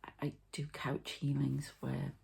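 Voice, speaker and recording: A woman hosting a whispered ASMR healing session, speaking in a soft, breathy, hesitant and meandering way. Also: very deep voice, completely flat delivery, measured pace. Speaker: host, spiritual healer.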